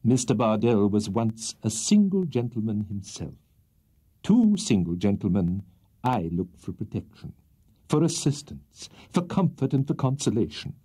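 A man's voice: on the low side, sing-song, measured pace, mellow, breathy edge, heavily acted. A man voicing a pompous, bombastic barrister haranguing a jury with theatrical indignation in an audiobook of a Victorian comic novel. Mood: solemn, pleading. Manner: theatrical oratory, indignant and swelling.

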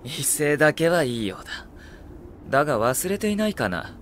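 Anime drama role: smooth, haughty young male strategist; theatrical, widely varied pitch, measured and ruthless. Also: sing-song, fairly bright tone, a little hiss or hum. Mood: condescending, cool. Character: suave, condescending young warlord's strategist, coolly ruthless. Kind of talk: Japanese anime audio drama acting.

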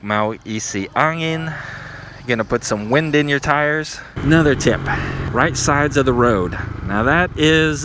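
A man's voice: medium pitch, bright, forceful tone. A middle-aged man giving casual riding tips while he rides a motorcycle outdoors, easygoing and chatty, often tagging his sentences with "right?", recorded on an action camera. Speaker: motovlogger. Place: on a motorcycle in city traffic outdoors. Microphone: helmet or handlebar action camera. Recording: street and engine noise behind.

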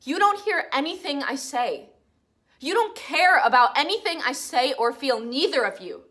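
Young actress doing a wounded young woman yelling at her housemates, sharp bright voice. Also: extremely high pitch, exaggerated voice. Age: young adult. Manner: emotional, accusing, pleading. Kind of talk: dramatic acting monologue.

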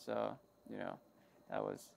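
Guy rambling into an audio log, kinda wry, stop and start, lots of vocal fry. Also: lowish voice, very animated, darkish tone, dry recording. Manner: halting, self-interrupting, wry and figurative. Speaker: creator of an audio log channel. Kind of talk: rambling personal monologue.

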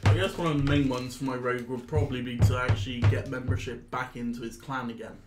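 A man speaks casually as a player at a game table. His voice sits at an average pitch, in a reverberant room with loud music-like sound underneath. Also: fairly even intonation, fast talker. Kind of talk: casual chat.

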